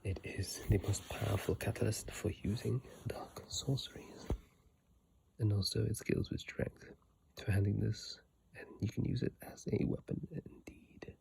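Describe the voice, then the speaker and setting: A person whispering in an ASMR style, breathy and airy, reading aloud with informal, halting, unhurried asides into a phone microphone up close. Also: mid-range voice, steady tone, warm tone, poor audio. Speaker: ASMR content creator. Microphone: iPhone microphone, close up.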